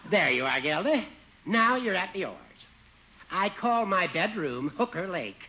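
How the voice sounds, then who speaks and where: High-voiced, fussy old judge. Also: male voice, sing-song, measured pace, pushed and bright, exaggerated voice. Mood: helpful. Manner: chuckling, finicky, needling. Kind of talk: 1950s radio sitcom acting. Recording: dull, noisy old radio recording.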